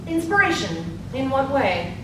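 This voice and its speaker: A woman's voice: very animated, unhurried. A woman playing a poised, encouraging suffragist politician in a live stage play, recorded in an echoey room. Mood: gracious. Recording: echoey noisy hall, distant mic, low rumble.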